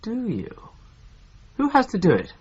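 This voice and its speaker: An inquisitive, probing newcomer with a somewhat high, husky voice. The sound is slightly muffled, like an old radio play. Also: male voice, wildly swooping pitch, a little slow, darkish tone, full character voice.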